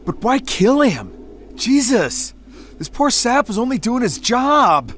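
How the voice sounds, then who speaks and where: A man acting as a wisecracking, excitable hardboiled detective type in a noir audio drama, with slangy tough-guy talk. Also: extremely high pitch, slow, slight background noise, heavily acted. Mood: panicked. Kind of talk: hardboiled noir radio-play narration and dialogue.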